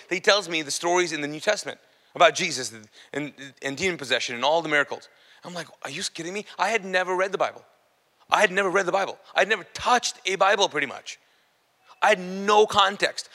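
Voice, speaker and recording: A very bright, forceful man's voice carries through a hall with long reverb. He is a native Urdu speaker giving a testimony like a storytelling sermon. His delivery is informal and comic, voicing both sides of conversations and hammering repeated phrases, at a somewhat high pitch. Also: animated, fast talker. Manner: informal, comic, emphatic repetition. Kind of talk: animated storytelling sermon, acting out dialogue.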